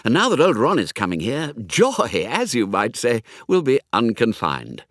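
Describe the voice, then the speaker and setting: A man voicing a breezy, chatty young gentleman with an upper-class English accent and slangy manner in a comic audiobook. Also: mid-range voice, wildly swooping pitch, normal speed, dry recording, clean studio sound. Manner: effusive, slangy, blithe. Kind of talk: comic audiobook character acting.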